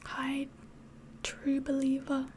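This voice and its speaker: A woman speaks in a hushed, mostly whispered ASMR style with a slightly mixed English accent. Her voice is fairly high yet mellow, and she takes her time, rambling hesitantly. A faint hiss sits behind her. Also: completely flat delivery, slow pace. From England. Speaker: ASMR livestream host. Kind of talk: soft-spoken ASMR chat, mostly whispered.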